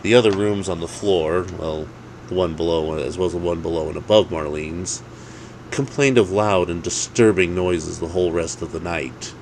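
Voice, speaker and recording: A man's voice: deep voice, normal speed, a little mellow, a little hiss or hum, low-quality recording. A man narrating a story in a dramatic storytelling style.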